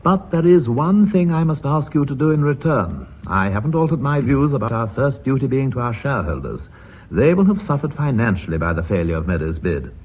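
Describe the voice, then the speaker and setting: An actor playing an authoritative, coolly confident British company chairman in a radio drama, speaking in a measured, formal, drily ironic way. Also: mid-range voice, sing-song, husky. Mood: formal, gracious. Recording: muffled vintage radio drama recording.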